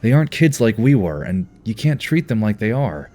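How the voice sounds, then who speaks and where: A man's voice: fairly low pitch, big pitch swings, normal speed, dark tone. A man playing an earnest, caring team leader in an audio drama, speaking with sincere concern. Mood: earnest, frustrated.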